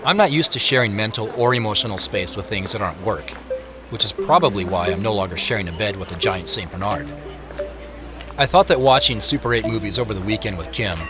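Male actor as harried everyman, low voice, wry and confiding, audio drama, music underneath. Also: natural voice. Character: harried, self-conscious man in a flimsy disguise. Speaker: narrator and lead actor. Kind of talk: first-person audio drama narration and acting. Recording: produced audio drama with music, street ambience.

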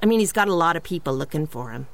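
Animated, exasperated slangy gal. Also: female voice, low voice, very fast, noisy background. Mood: offhand. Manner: slangy, casual, eye-rolling. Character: offhand, exasperated chatty female acquaintance of a superhero. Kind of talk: comedic audio drama mock interview.

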